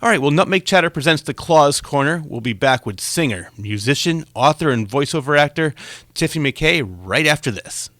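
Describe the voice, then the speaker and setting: A man's voice: a little high, expressive, brisk, sharp tone, dry recording. A man hosting a conversational interview, chatty and given to telling anecdotes. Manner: chatty, tells anecdotes with acted-out quotes. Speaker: talk show host and interviewer.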